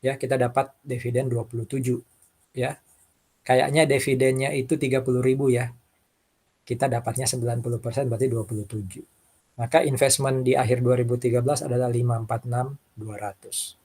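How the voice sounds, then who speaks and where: A man with a husky voice, lecturing informally and talking through worked examples, often checking in with short tags. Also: mid-range voice, flat delivery, a little fast, a little mellow. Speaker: accounting lecturer.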